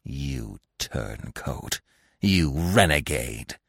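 Man acting wounded fox, storybook animal, slow delivery, wide swings, growling, hissing, intense. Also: a bass voice, big pitch swings, gravelly, fairly bright tone, slightly rough, dry recording, studio quality, pitched below the performer's own voice, exaggerated voice. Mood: bitter, hissing. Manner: hissing, growling, drawn-out pleading. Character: wounded male fox, weak pleading turned bitter contempt.

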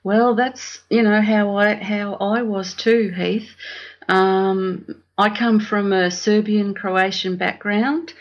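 An Australian woman talks slowly as a podcast guest. She is candid and reflective, pausing often in a casual style. The call audio is slightly dull and lo-fi. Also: mid-range voice, steady tone. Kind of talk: casual personal interview.

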